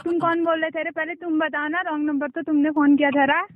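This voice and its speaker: A high-pitched woman, teasing and dodging every question. Her voice comes through a tinny phone line. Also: level tone, fast talker. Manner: evasive, teasing, dismissive. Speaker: prank call receiver.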